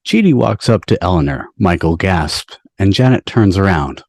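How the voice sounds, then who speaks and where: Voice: low-pitched, expressive, normal speed, a little mellow, no echo, studio quality. A narrator reading stage directions for an amateur table read on a video call.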